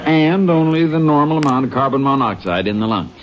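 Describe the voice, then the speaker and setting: An actor playing a folksy small-town sheriff in a 1950s radio drama, with a drawling, plain-spoken manner that turns to barking orders. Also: male voice, medium pitch, animated, brisk, natural voice. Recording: dull old radio broadcast.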